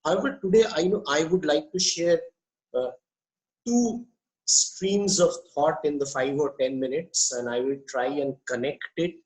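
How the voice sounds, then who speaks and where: A man, a psychiatrist giving an online welcome speech, hoarse from a cold and speaking in a measured, deliberate way over a video call. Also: a little high, a little mellow, rough recording. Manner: measured, deliberate, pauses with fillers.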